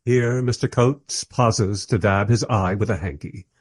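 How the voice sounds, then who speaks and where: An actor playing a wry, irreverent writer in a radio play, speaking in a dry, measured, slightly husky way as narrator and polite interviewer. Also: male voice, fairly low pitch.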